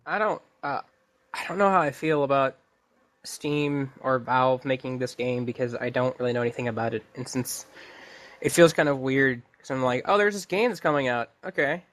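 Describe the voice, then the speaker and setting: A man's voice: mid-range voice, normal speed, fairly bright tone. A man co-hosting a podcast in a casual chat, speaking in a hesitant, meandering way. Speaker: podcast co-host.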